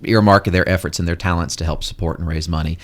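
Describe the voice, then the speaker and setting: A man with a very low voice explains things articulately as a guest on a radio show. He sounds organized and at ease, like a school head laying out how things work. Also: fairly even intonation, fast talker. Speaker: head of school and Rotary Club board member. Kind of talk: casual radio interview talk. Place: radio studio.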